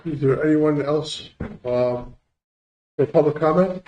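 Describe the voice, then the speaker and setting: A man's voice: a little high, takes it slowly, mellow, muffled recording, a little hiss or hum. A man chairs a county commissioners' meeting, moving it along with brief, courteous remarks.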